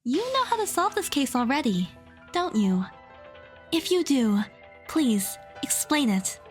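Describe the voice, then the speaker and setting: Defiant young woman, played by an actress, high lively voice, confident and methodical, audio drama courtroom challenger. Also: unhurried, faint music behind. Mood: pressing. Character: defiant, sharp-minded young woman arguing in a trial.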